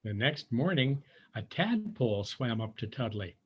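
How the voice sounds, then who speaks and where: A man's voice: medium pitch, sing-song, unhurried, breathy edge, dull audio. A man reading a children's storybook aloud, chatting to his young listeners in conversational asides.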